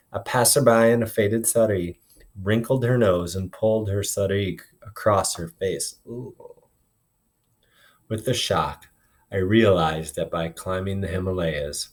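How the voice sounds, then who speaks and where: A man with a fairly low voice reads aloud in the role of a teacher. He narrates expressively and slips into informal, instructive asides to explain things. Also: a little mellow. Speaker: teacher reading aloud to a class.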